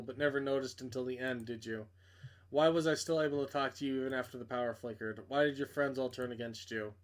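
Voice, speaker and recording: A man's voice: mid-range voice, lightly acted. A man voicing a menacing stalker in a horror visual novel, with cold, mocking questions. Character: menacing, taunting stalker messaging a victim in horror game.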